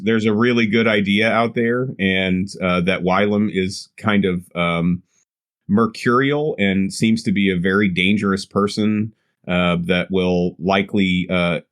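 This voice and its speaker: Hesitant, rambling man with a lowish voice. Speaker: tabletop game player. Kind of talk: improvised in-character conversation.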